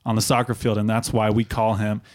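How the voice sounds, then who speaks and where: A man's voice: fairly low pitch, little pitch movement, a little fast. A man hosting a podcast in a studio, opening the show and teasing his guest playfully. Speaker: podcast host. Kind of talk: podcast intro and casual banter.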